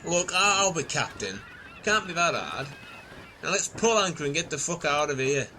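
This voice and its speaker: Man playing a high-voiced, bossy ship's captain. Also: animated, moderate pace, bright, forceful tone, a little hiss or hum, poor audio. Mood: confident. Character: bossy, foul-mouthed self-appointed male ship's captain. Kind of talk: comedy audio drama acting.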